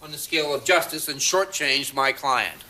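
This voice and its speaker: A man's voice: mid-range voice, moderate pace, pushed and bright, a little hiss or hum, low-quality recording. An actor playing an assertive male defense attorney arguing formally in a courtroom drama. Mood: argumentative. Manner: formal, argumentative.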